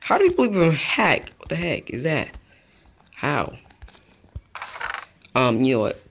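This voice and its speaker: A young man giving casual, rambling commentary over his own gameplay, chatty and easily excited. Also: fairly high pitch, measured pace. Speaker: gaming youtuber.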